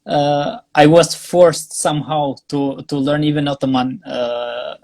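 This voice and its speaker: A young Albanian man speaking English with an Albanian accent as a guest in a casual interview, pausing often with fillers and restarts. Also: a little high, flat delivery, darkish tone, no echo. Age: young adult. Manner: halting, many fillers and restarts. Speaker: guest, graduate student.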